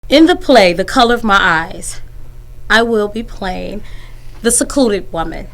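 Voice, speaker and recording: Woman, director-interviewer, mid-pitched voice, earnest delivery with repetition for emphasis. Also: expressive, a little slow, soft background music. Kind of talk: stage cast interview.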